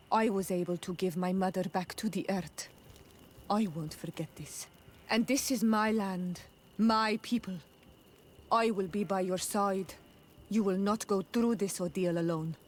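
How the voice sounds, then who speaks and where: An actress playing an earnest, gentle young island tribeswoman in a video game, speaking in measured, formal phrases. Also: mid-range voice, slight background noise, close to an everyday voice. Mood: resolute. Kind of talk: video game romance dialogue acting.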